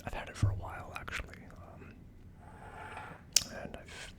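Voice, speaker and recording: Soft unhurried ASMR whisperer. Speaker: ASMR video host. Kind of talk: ASMR, casual whispered talk to viewers.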